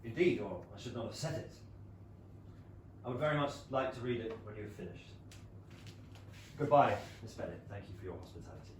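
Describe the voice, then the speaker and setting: A very reverberant, distant theatre recording with faint noise carries an actor as a reserved young Regency gentleman. He talks in a measured, formal way, dry and earnest in his progressive views. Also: fairly low pitch, lively intonation, natural voice. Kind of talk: staged reading of a period comedy play. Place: small theatre stage, captured from a distance.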